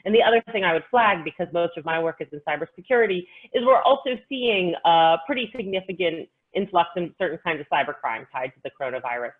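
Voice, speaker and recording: A woman giving articulate, measured explanations as an expert panelist on a video call. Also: low-pitched. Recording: narrow, phone-like call audio.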